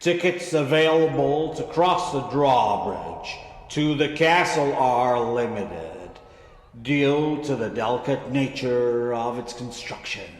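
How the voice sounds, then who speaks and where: Guy doing a pompous castle announcer, comedy audio drama, slowish and formal, lots of echo. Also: medium pitch. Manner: grandiose, formal. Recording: roomy, long reverb, music behind.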